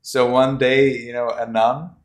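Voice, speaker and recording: A man's voice: mid-range voice, little pitch movement, a little slow. A man teaching children over a video call, talking. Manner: rambling, repeats himself, uses "you know". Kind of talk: casual online class talk. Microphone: laptop mic on video call. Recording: echoey video call audio.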